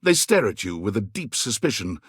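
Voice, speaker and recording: Wry game narrator, husky, dramatic swooping delivery, bone dry studio sound. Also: male voice, on the low side, sing-song, fairly bright tone, no echo, heavily acted. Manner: theatrical, measured, wry. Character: wry omniscient male game narrator, theatrical and dryly mocking.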